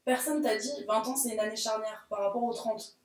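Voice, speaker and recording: A woman in her late twenties in a casual discussion, emphatic and quick to agree. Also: a little high, steady tone. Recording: roomy echo.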